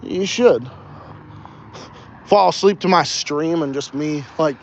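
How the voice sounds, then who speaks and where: A man's voice: on the high side, slow pace, lo-fi sound, a little hiss or hum. A young man with a slight Texas Southern accent hosting a casual outdoor livestream, rambling and swearing a lot while he walks around. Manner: animated, profane, rambling, talking while walking. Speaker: podcast host.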